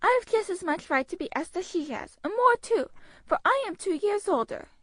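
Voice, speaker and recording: A woman's voice: very high voice, sing-song, normal speed, fairly bright tone, no echo, voice pushed up, exaggerated voice. A woman voicing a peevish, jealous teenage girl who whines petulantly, in an audiobook reading. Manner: whiny and petulant.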